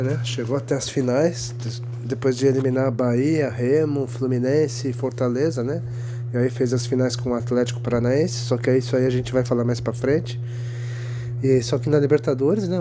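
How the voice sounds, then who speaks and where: A man's voice: medium pitch, background noise. Brazilian man with a cold, rambling. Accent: Brazilian Portuguese. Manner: rambling, constant tag questions and restarts. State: congested from a cold. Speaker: football history youtuber. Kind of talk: casual solo commentary video.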